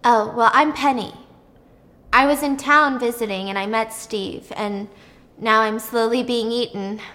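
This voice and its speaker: A woman storyteller plays a young captive woman who has given up. She sounds weary and frank, speaking matter-of-factly in a high voice. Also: fairly even intonation, unhurried, fairly bright tone, big-room sound. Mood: resigned.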